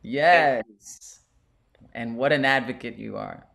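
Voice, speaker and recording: A woman hosting an online open mic over a video call. She is effusive and excitable and laughs often, her voice bright and forceful, her pace unhurried. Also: rumbling low, gravelly.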